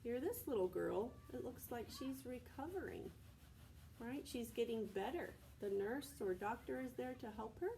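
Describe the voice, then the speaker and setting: A woman's voice: fairly high pitch, expressive, moderate pace, creaky, mellow. American woman reading to preschoolers, noisy recording. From Texas, United States. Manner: simple child-directed phrasing, frequent questions. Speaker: preschool teacher. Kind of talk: read-aloud to young children with explanatory asides and questions.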